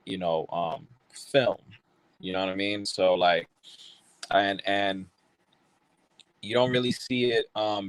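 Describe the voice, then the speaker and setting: A man's voice: low voice, no echo, rough recording. A man born in Haiti, a filmmaker guest speaking passionately over a call, with many fillers and restarts. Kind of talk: casual interview over a call.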